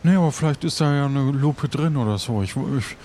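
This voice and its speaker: A man's voice: medium pitch, brisk, mellow, close to an everyday voice. A man playing a hesitant, stammering pirate who sounds unsure, in an improvised audio play. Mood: hesitant, puzzled. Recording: noticeable background noise.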